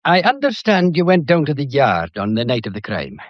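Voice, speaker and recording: An actor playing a genial, fatherly Scottish police inspector who questions courteously and gently in a mystery audio drama. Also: on the high side, wildly swooping pitch, fairly quick, dry recording, highs cut off. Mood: courteous, probing.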